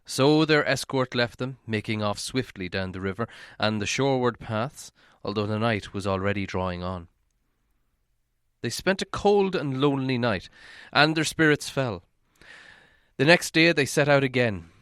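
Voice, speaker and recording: A man narrates a storybook in a measured, dramatic way. His voice sits at a medium pitch and is smooth and resonant. The recording is dry and close. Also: expressive, a bit bright, no room sound. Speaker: audiobook reader.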